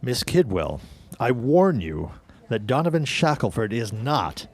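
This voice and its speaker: A man's voice: mid-range voice, very animated, a little slow. A man acting a polite, persistent newspaper city editor in a 1910s period audio drama, speaking in a formal, courteous, probing way. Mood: probing, needling.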